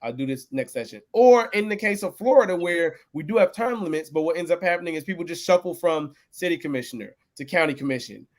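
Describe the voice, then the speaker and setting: A young man races through his points with quick, slangy energy, his voice sitting a bit high for a man. He keeps slipping into little mock exchanges, as casual political talk. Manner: animated, slangy, drops into mock dialogue. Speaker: political candidate.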